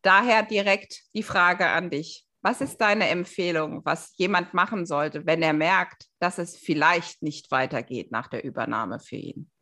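A woman's voice: lowish voice, measured pace, few pauses, sharp tone. Female podcast host speaking conversationally. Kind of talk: podcast interview.

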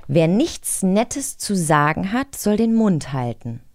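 A woman's voice: lowish voice, sing-song, takes it slowly, darkish tone. A woman co-hosting a casual radio chat in a studio, talking in an informal, colloquial way. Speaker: co-host.

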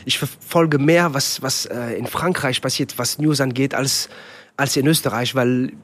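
A man's voice: medium pitch, unhurried, a bit bright. A man who grew up with French as his first language, a comedian and actor chatting casually in an interview, with many restarts and fillers. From half French, half Tunisian, raised in Germany.

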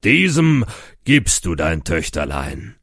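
Storybook giant, acted out by a man, gruff, kindly, blunt and commanding, gravelly creak, pitched up in swooping lines, background noise. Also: huge pitch swings, takes it slowly, fairly bright tone, no room sound, clean studio sound, heavily acted. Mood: gruffly kind. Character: huge hearty giant, gruff but kindly.

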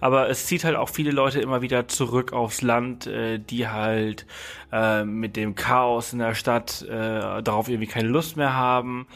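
A man's voice: medium pitch, steady tone, moderate pace, vocal fry, fairly bright tone. A man hosting a podcast, chatting casually with his guest, with many fillers and restarts. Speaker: podcast host. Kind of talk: casual interview chat.

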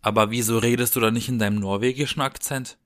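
A man's voice: medium pitch, steady tone, quick pace, a bit bright. A man in a casual podcast chat, explaining things and bantering dryly as co-host.

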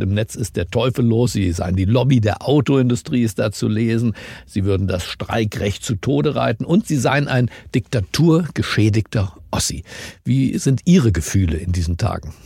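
A man hosting a news briefing, presenting scripted items and questions in a pointed, deliberate way with dramatic pauses. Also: on the low side, darkish tone, no room sound, studio quality. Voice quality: gravelly, husky. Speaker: host of a news briefing podcast. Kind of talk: scripted news narration and interview.

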